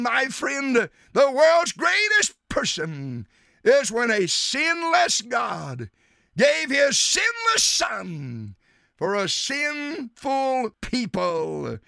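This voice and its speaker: A man preaching a fiery radio sermon in a very high, gravelly voice that swoops up and down. He speaks slowly and stretches his words, often breaking into shouts and calling out to the listener. The sound is close and dry. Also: dry recording. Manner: repeats appeals like "dear sinner" and "my friend". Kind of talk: evangelistic sermon.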